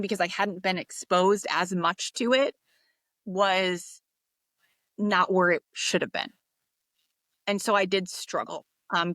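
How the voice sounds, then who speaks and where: A woman from the United States, a podcast guest, talking in a relaxed, reflective way with fillers and restarts. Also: on the low side, no room sound. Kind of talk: casual interview talk.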